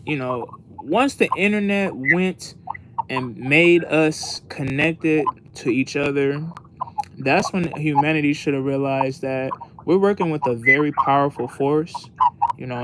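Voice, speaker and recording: A man's voice: mid-range voice, noisy background. An American man co-hosting a podcast, chatting casually in an earnest, rambling way over an online voice call. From United States. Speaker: podcast co-host.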